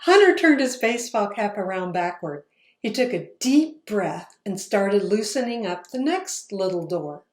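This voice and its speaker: Slow, expressive woman reading aloud. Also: medium pitch. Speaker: author reading her book aloud. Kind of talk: children's read-aloud. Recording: roomy echo.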